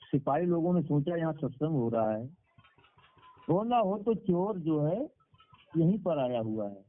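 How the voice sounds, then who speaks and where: Higher-voiced male preacher on a phone line. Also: lively intonation, measured pace. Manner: repeats phrases for emphasis. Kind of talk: devotional sermon with moral storytelling. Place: speaking over a conference call. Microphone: telephone. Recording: tinny phone conference-call line.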